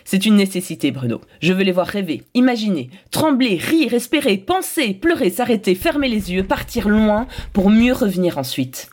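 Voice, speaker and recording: A woman's voice: medium pitch, animated, moderate pace, hardly any pauses, darkish tone, natural voice. An actress playing a determined, idealistic woman in a scripted audio drama, speaking with insistent, declamatory phrasing. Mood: resolute, impassioned. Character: determined, idealistic woman demanding more radio fiction.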